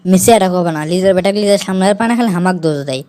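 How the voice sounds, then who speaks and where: A woman's voice: mid-range voice, rapid-fire, a crowd in the background, close to an everyday voice. A woman voicing an exasperated, defensive village mother with a Bogura accent in a comic amateur cartoon dub. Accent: Bogura, northern Bangladesh. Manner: defensive, argumentative, complaining. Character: exasperated village mother bickering with her husband.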